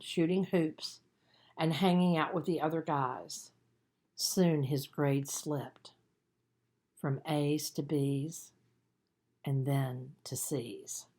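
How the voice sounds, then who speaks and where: A woman narrating a children's book as its author, in an expressive storytelling manner with deliberate emphasis. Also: sits low. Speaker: author reading own book.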